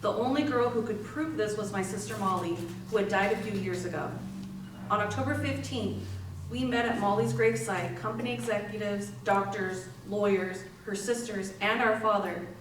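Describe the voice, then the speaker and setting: A woman's voice: medium pitch, moderate pace, natural voice. A woman playing a grieving sister, telling her story as a readers theater monologue. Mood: solemn. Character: grieving sister recounting an exhumation. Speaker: community theatre actor. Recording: echoey room, distant mic, faint noise.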